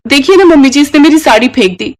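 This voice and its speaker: A woman's voice: high voice, expressive, fast, voice pushed up. A woman voicing a playful, hot-tempered young Indian daughter-in-law in a storybook tale, teasing and quarrelsome. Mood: complaining.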